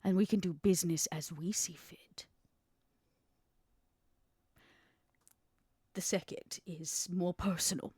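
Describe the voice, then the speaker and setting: A woman playing a guarded, shrewd vampire proposing business in a measured, dryly intimate way for a tabletop roleplay podcast. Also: fairly low pitch, warm tone, no echo, studio quality. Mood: grave, confiding.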